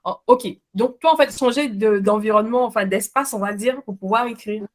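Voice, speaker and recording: A woman's voice: a little high, brisk. A woman interviewing a guest in a casual, friendly way, thinking aloud with many fillers.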